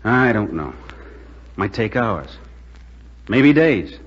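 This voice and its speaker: Highly animated griping radio operator. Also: male voice, takes it slowly, slightly rough, full character voice. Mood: exasperated. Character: griping, hard-working male military radio operator. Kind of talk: 1940s radio drama acting. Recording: muffled, noisy, reverberant 1940s radio recording.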